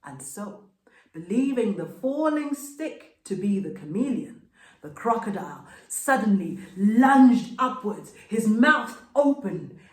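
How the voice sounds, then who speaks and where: Expressive, slow-paced woman storyteller, roomy echo. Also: mid-range voice. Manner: dramatic, expressive, deliberate phrasing. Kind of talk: scripted folktale narration.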